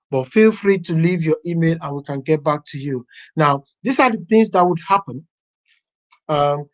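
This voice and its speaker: A software testing teacher walking a class through a website step by step, thinking aloud as they browse. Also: mid-range voice. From Nigeria. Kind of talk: live class walkthrough. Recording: dull lo-fi online class audio.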